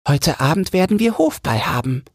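A man voicing a wise, resigned old mermaid grandmother in a thin, breathy falsetto, slow and instructive, for a fairy-tale audiobook. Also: big pitch swings, no echo, clean studio sound, exaggerated voice. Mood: resigned cheer. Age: older.

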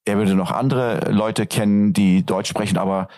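Low-voiced man, hesitant interview guest. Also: steady tone, normal speed, a little mellow, no echo. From Turkey. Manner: hesitant, many fillers and restarts. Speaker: guest, teacher. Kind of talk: casual interview answers.

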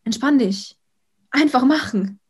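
A young woman, an influencer, chatting casually in an interview, outgoing and talking in quick bursts with many fillers. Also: high voice, slightly rough. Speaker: influencer guest.

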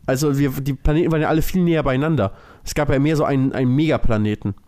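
A man's voice: mid-range voice, very fast, darkish tone. A man co-hosting a podcast, explaining things loosely in casual, colloquial talk. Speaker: podcast co-host. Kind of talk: casual chat.

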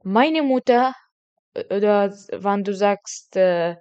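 Young woman, native Kurdish speaker, intern, halting casual chat, broken grammar, medium pitch. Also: smooth, dull audio. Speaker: intern training as kindergarten teacher.

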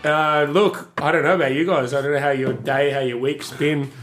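A man co-hosting a casual podcast, bantering quickly with plenty of swearing and little rants. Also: a little high, fairly even intonation, over music. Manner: fast, swearing, ranting. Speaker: podcast co-host.

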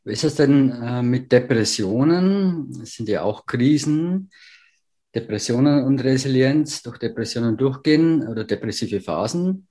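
A man interviewing a guest, asking calm, brief questions and giving soft affirmations. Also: medium pitch, a little slow.

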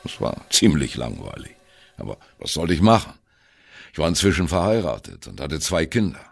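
Very deep, gravelly jazzman storyteller. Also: very animated, normal speed, full character voice. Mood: wry reminiscing. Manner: wry, candid, self-deprecating. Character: male jazz musician wryly recalling his youth. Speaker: narrator of an audio drama. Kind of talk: first-person radio play monologue, storytelling.

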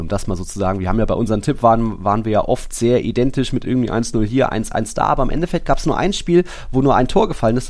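A man's voice: medium pitch, a little mellow. A man co-hosting a football podcast, commenting casually in an informal, loosely rambling, colloquial way. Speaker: podcast co-host.

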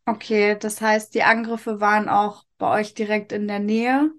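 A woman hosting a podcast, reading a scripted introduction and then gently interviewing her guest, rephrasing her answers. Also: medium pitch, level tone, a little slow. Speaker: podcast host.

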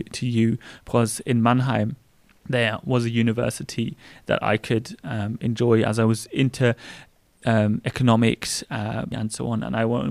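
A man's voice: medium pitch, vocal fry, a little mellow, no room sound. A man in his thirties with a German accent, chatting casually as a podcast co-host with a lot of fillers. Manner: chatty, rambling with frequent fillers.

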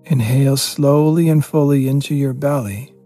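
A man leading a guided meditation as a yoga teacher, giving gentle, spacious instructions with pauses. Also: medium pitch, fairly even intonation, soft background music.

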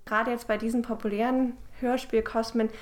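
A woman's voice: a little high, little pitch movement, brisk. A woman gives an expert's explanation. She speaks off the cuff, in careful, qualified phrases. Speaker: literary scholar, expert. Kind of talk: spontaneous expert interview soundbite.

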